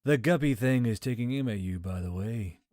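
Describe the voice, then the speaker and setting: A man voicing an amused, world-weary spirit in a fantasy audiobook, speaking in a languid, deadpan drawl over music. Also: medium pitch, no room sound, studio quality, lightly acted. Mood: dry, bored. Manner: languid, deadpan, drawn-out delivery. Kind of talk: audiobook fantasy character acting. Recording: background music under the voice.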